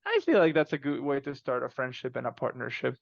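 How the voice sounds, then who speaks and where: A man speaking as a guest author in a casual podcast interview, telling stories in an animated way and acting out exchanges. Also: mid-range voice, fast, creaky, no echo, muffled recording.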